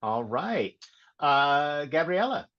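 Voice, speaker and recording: A man's voice: a little high, sing-song, slow pace. A man hosting a livestreamed panel in a casual way over a video call. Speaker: panel host. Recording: dull, lo-fi call audio.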